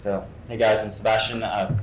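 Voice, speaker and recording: Low-voiced young man with frequent restarts. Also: level tone, normal speed. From Hong Kong. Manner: repeats words and restarts often. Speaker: guest actor. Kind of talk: casual interview answers. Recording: roomy sound with faint noise.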